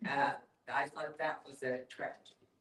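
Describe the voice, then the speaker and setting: A mature woman with a distinctly low voice speaks in an echoey room, a little away from the mic. She talks casually and bluntly, stating her views outright. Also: level tone, normal speed, fairly bright tone, distant mic. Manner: blunt, opinionated. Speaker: discussion participant. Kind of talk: casual group discussion.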